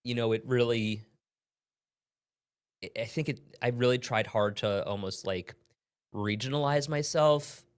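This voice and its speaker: A man's voice: mid-range voice, unhurried, pauses often, clear, resonant tone. A man with a faint Long Island accent co-hosting a casual morning chat show, laughing easily and trailing off mid-thought. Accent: faint Long Island, mostly smoothed out. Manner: chatty, laughs often, trails off.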